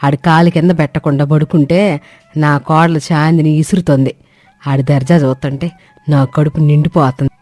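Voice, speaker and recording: A bossy, money-proud older mother-in-law from a village tale, acted by a woman. She scolds and boasts in homely speech, with a soft dark tone over background music. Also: low voice, fairly even intonation, normal speed. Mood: boastful. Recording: echoey sound with music bed.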